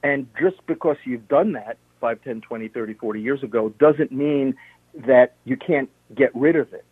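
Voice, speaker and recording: A man's voice: mid-range voice, moderate pace, slightly rough, dry recording. A man on a radio interview, steadily explaining health tips and listing points one by one. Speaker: health practitioner guest. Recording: narrow phone-line sound.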